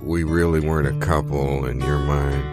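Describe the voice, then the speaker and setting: A man playing a jilted, rambling country farmhand in an improvised comic monologue, deadpan and folksy, halting as he goes. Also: a bass voice, loud music behind the voice. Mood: wounded, stubborn. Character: jilted rural old-time farmhand, rambling, wounded, stubborn. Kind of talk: improvised spoken monologue over guitar.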